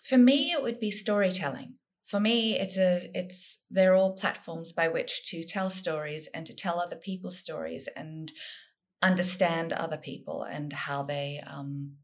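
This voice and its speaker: Low-voiced woman from South Africa. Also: measured pace. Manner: thinks aloud with many ums and restarts. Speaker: guest author. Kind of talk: casual interview conversation. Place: home in Sydney.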